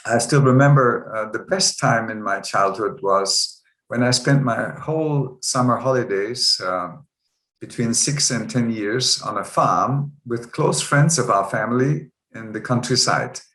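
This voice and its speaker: A man's voice: mid-range voice, unhurried, fairly bright tone. Man in his sixties, roomy sound. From Germany. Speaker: guest. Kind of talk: interview storytelling. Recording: roomy echo.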